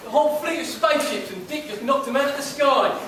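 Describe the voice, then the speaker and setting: Male performer as high-voiced pantomime gossip. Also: expressive, fast. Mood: gossipy. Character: gossipy common townsperson swapping tall tales. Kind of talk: amateur school pantomime stage acting. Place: large school hall stage. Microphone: distant camera microphone. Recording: distant echoey hall, audience and noise.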